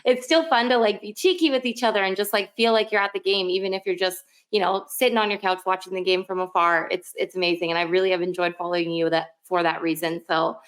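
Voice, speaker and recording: A woman hosts a sports podcast in a medium-pitched voice. Her style is chatty and informal, full of slang and "like," as in a casual interview between fans. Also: fairly even intonation, fast talker, hardly any pauses, a bit bright.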